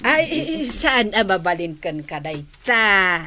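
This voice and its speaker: A slow, coaxing Ilocano wife. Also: female voice, mid-range voice, sing-song, pushed and bright, heavily acted. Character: doting, coaxing wife feeding her husband. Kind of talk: Ilocano radio drama acting.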